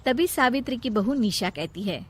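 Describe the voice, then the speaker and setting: A woman's voice: a little high, expressive, normal speed, slightly rough, dry recording. A woman narrating a scripted moral story as the storyteller.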